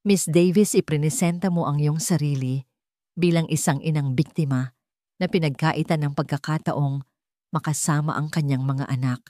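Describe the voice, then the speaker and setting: A woman voicing a calm, authoritative female judge in an audio story, measured, formal and firm. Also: low voice, dry recording, clean studio sound, natural voice. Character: calm, authoritative female family-court judge delivering a verdict.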